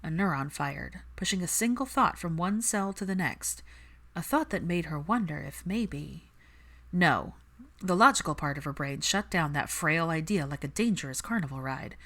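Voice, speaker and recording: Expressive, lower-pitched female narrator. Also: normal speed. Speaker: audiobook narrator. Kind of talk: expressive scripted story narration.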